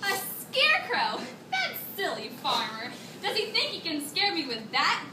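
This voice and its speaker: Young woman doing a sly little mouse deer for kids theatre, super high n animated, roomy noisy recording. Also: a little slow, heavily acted. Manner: bold, exaggerated, singsong. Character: small, cheeky, quick-witted female mouse deer trickster. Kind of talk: children's readers theatre performance. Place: classroom, recorded by a far camera. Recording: echoey classroom from far off, noisy.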